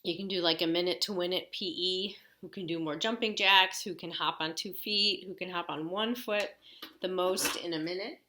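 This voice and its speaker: A woman's voice: fairly low pitch, steady tone, moderate pace, pushed and bright. A woman teacher casually leading a children's read-aloud lesson, explaining and checking in with questions. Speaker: elementary school teacher.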